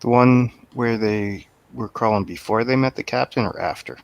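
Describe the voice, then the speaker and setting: A man's voice: mid-range voice, moderate pace, dry recording. A man in a casual movie review chat, offering brief, factual interjections. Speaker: show panelist.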